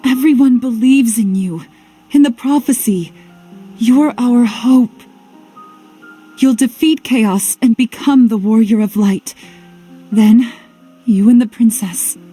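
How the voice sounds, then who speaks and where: An actress playing a devoted, anxious young woman in a video game, gentle and pleading in manner. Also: fairly high pitch, slow pace, long pauses, breathy edge, faint music behind. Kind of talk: dramatic video game voice acting.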